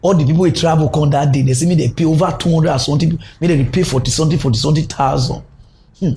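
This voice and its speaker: A man with a Nigerian Pidgin accent hosting a comic mock news segment, ranting in an animated, mocking way. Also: on the high side, very fast, slight background noise. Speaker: host.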